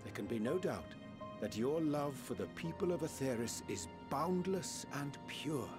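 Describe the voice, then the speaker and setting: An actor playing a calm, scholarly man in a fantasy video game, speaking in formal, eloquent, archaic phrases. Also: on the high side, measured pace, over music. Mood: solemn, instructive.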